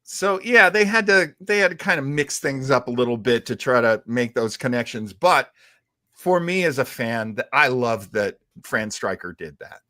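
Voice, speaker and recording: A man hosting a show, explaining in casual talk with a halting, thinking-aloud delivery. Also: mid-range voice, animated, pushed and bright, no echo, studio quality. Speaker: show host. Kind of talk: casual explanatory talk about old radio heroes.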